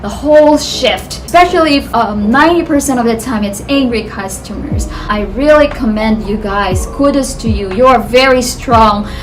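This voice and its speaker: Vlogger: woman in her 30s, Filipino accent, slightly high voice, earnest and chatty, faint music under. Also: normal speed, hardly any pauses, poor audio. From Philippines. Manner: chatty and earnest, light laughs, frequent restarts. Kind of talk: casual talk to camera.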